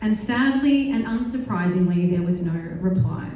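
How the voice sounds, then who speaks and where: A woman's voice: mid-range voice, moderate pace, dark tone, slight background noise. A woman from Australia with an Australian accent giving an earnest, determined prepared speech on a panel, with light self-deprecating asides. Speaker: panel speaker. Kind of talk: prepared speech at a live event. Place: large synagogue hall. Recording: very echoey hall from far off.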